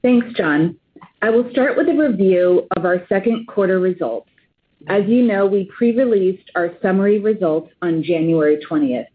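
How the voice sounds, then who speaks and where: A woman's voice: medium pitch, normal speed. A woman in a finance executive role reading scripted financial results on a conference call, formal, businesslike and precise with figures. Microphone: phone conference call. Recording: tinny narrowband phone line.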